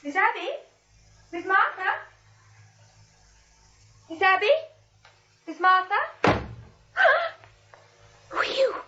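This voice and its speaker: Very high-pitched frightened young woman. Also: takes it slowly, pauses often, bright, forceful tone, highs cut off, faint music behind, exaggerated voice. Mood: alarmed. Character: spirited, frightened young woman, indignant neighbour. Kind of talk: 1940s radio drama acting.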